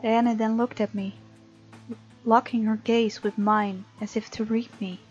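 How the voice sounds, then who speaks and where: A woman gamer reading visual novel narration aloud in an expressive, informal way, with casual commentary of her own. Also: fairly high pitch, measured pace. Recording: muffled mic, game music behind. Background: game music.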